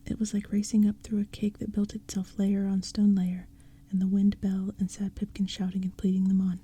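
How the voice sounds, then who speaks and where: Female narrator, hushed ASMR-style reading, dark and airy. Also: medium pitch, completely flat delivery, moderate pace, slightly rough. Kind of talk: whispered storybook narration.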